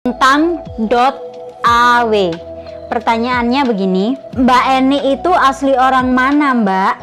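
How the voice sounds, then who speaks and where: A woman's voice: fairly high pitch, slow. Woman from East Java answering viewer questions. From Malang, East Java, Indonesia. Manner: reads viewer questions aloud, then answers in a relaxed, friendly way. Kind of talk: casual Q&A vlog. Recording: music playing underneath.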